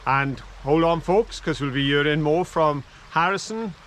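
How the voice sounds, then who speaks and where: A man's voice: fairly high pitch, a little slow, fairly bright tone, a little hiss or hum. An older man with a Welsh accent presenting a playful outdoor vlog beside a woodland stream, camera close. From Wales. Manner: playful, showman-like presenting to viewers. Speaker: vlog co-host. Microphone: camera held close.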